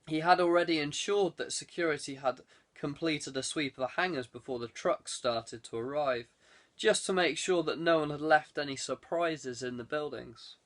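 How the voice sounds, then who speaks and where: Higher-pitched man narrating a thriller. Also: normal speed, a bit bright. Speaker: audiobook narrator.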